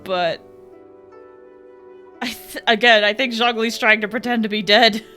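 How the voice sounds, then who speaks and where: A woman doing casual, chatty livestream commentary as she plays a game, with an excitable manner. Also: fairly high pitch, unhurried, faint music behind. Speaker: game streamer.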